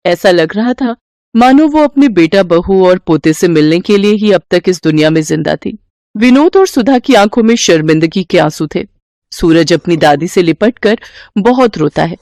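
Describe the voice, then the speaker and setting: A woman's voice: fairly low pitch, animated, normal speed, a little mellow, no room sound, clean studio sound. A woman reading scripted narration for a bedtime moral story.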